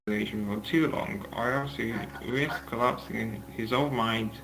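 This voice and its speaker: A man's voice: mid-range voice, measured pace, gravelly, rough recording. A man reading scripted narration aloud as the narrator of a table read, with music playing behind him.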